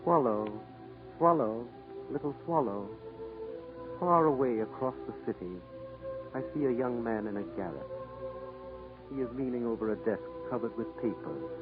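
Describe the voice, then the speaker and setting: A solemn, compassionate prince of a statue, played by a man pleads and commands with wide melodic swings. This is vintage 1940s radio acting, narrow in sound, with clear music underneath. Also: medium pitch, expressive, normal speed, long pauses, lightly acted. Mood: sorrowful compassion. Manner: solemn, pleading, repeats himself.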